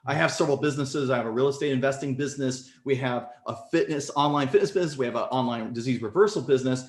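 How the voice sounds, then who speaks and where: A man in his forties telling his story as a podcast guest in casual conversation, animated and leaping up in pitch when excited. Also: quick pace. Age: 40s. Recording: echoey room.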